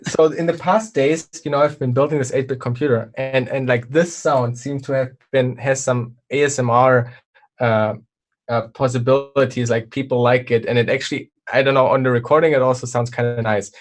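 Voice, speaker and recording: A man's voice: mid-range voice, fairly even intonation, moderate pace. Hesitant man guest with many fillers. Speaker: guest, video maker. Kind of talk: casual chat.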